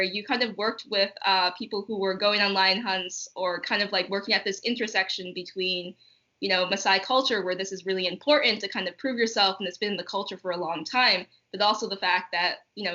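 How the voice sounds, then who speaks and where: A woman's voice: mid-range voice, brisk, bright, forceful tone. A woman hosting a casual online interview, phrasing things in an informal, tentative way. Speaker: host interviewer. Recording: slightly dull internet call audio.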